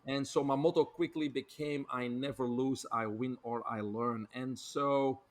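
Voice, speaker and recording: A man's voice: mid-range voice, steady tone, unhurried. A middle-aged man from Belgium with a Belgian accent, a guest on a podcast interview, telling his story fluently with frequent filler words. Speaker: guest, doctor and author.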